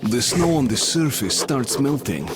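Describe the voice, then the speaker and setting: A man's voice: mid-range voice, big pitch swings, a little slow, background noise. A man playing a grave, duty-bound soldier who narrates his journey in a video game. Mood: grave, reflective. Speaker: protagonist narrator.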